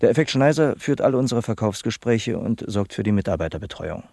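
A man's voice: fairly low pitch, expressive, fairly quick, gravelly, a little mellow, slightly rough, no echo, clean studio sound, heavily acted. An actor playing an earnest, literal-minded young male office apprentice in a satirical radio play, matter-of-fact and officious. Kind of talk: satirical radio drama acting as an interviewee.

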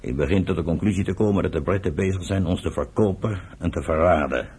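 Very deep, husky elderly father. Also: fast talker, a little mellow. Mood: grave, concerned. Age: older. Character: stern, embittered elderly father and political leader. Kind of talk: radio drama acting.

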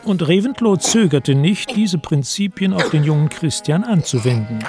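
An actor as a sober chronicler, giving composed, factual, literary narration in a radio play. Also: male voice, a little high, animated, normal speed, over music. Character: sober male chronicler of royal history. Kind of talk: scripted narration.

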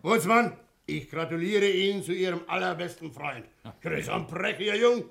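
An actor playing a jovial, exuberant ship's captain, an old sea dog, in a radio crime play. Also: male voice, sits high, animated, moderate pace, vocal fry, a bit bright, exaggerated voice. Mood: jovial, delighted. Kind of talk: 1960s German radio crime drama acting.

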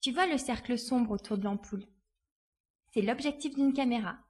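A woman playing a spirited, resourceful young girl in a dramatised audiobook, lively and assured in her delivery. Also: on the high side, normal speed, breathy edge. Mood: proud, explanatory. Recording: faint background noise.

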